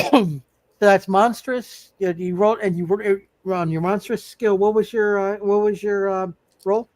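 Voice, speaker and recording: A man's voice: high-pitched, steady tone, unhurried, darkish tone, dry recording. A man narrating a live tabletop superhero game as game master, stammering and often repeating his words.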